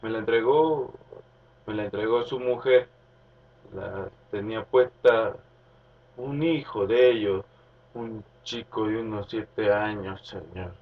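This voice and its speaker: A man's voice: on the low side, takes it slowly. A man playing a dutiful rural policeman reporting formally to his superior in a radio drama. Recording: muffled, distant, music behind.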